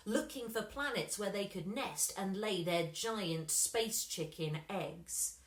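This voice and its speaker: A woman reading a children's story expressively, with dramatic pauses and emphasis. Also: mid-range voice. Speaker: story reader. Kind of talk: scripted children's story narration. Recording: roomy, echoing room sound.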